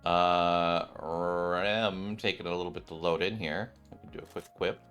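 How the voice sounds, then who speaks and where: A man with a fairly low voice gives casual gameplay commentary as a channel host. He is excitable and repetitive in his phrasing, and music plays behind him. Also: a little slow, clear, resonant tone. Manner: excitable, exclamatory, repeats phrases.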